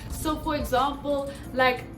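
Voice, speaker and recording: A woman's voice: high-pitched, unhurried. South african girl vlogging, chatty, does all the voices in her stories, echoey room w music behind. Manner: animated storyteller, acts out both sides of conversations with laughs. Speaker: vlogger, international student. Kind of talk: casual vlog chat.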